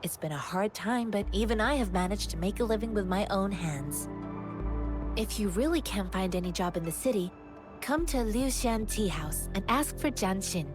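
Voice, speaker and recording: Woman acting a earnest young martial disciple girl in a game, calm n formal, music behind. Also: mid-range voice, normal speed, natural voice. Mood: earnest, composed. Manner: calm, formal, proverb-quoting.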